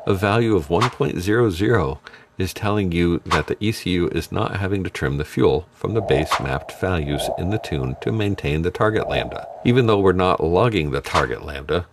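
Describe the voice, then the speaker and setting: A middle-aged man with a low voice walks through a technical topic in a steady, explanatory way and drops in the odd dry joke. He sounds scripted and sure of his material, recorded in a studio. Music plays clearly underneath him. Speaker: automotive tuning instructor. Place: dark studio set with purple lights.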